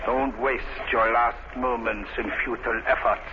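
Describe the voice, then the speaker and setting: A man's voice: mid-range voice, level tone, a little slow, lightly acted. Man acting a cold, grandiose radio crime villain. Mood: gloating menace. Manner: menacing, formal, grandiose villain delivery. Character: megalomaniac male criminal mastermind, cold and merciless. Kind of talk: old time radio crime drama acting. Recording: noisy, muffled vintage radio recording.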